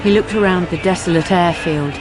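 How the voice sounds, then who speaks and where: A woman giving deliberate, scripted narration for a radio drama. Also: fairly low pitch, low-quality recording.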